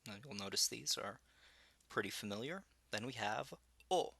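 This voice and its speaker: A man teaching a language lesson, slowly sounding out syllables, with a slight Spanish tinge to his English and many "uh"s and "um"s. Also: fairly low pitch, gravelly, fairly bright tone, slightly rough, no echo. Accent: English with some Spanish influence. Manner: slow, careful, with frequent "uh" and "um".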